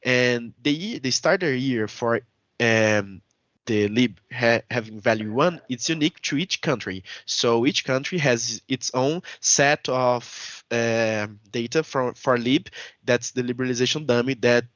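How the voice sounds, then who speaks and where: A man's voice: medium pitch, unhurried, a bit bright, dry recording. A young man with a Brazilian Portuguese accent gives an academic conference talk in a careful, hesitant way, often stopping to rephrase. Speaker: conference presenter. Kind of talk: academic paper presentation. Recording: dull, dry video call audio.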